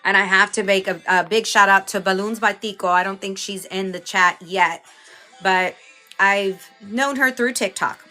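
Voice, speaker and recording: A woman's voice: mid-range voice, fairly even intonation, normal speed, sharp tone, soft background music. A woman from Houston, chatting casually as a livestream host, greeting viewers by name and sounding a little stuffy from a cold. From Houston, Texas.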